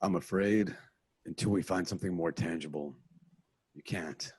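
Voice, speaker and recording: Batman for a radio play: a man doing a low, husky, dry-voiced caped crusader who reasons with mock gravity. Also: darkish tone, dry recording. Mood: deadpan. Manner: deliberate, mock-serious deductions. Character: Batman, grave adult male crime-fighter, smug deductive. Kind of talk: amateur radio play reading. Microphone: home mic, dry room.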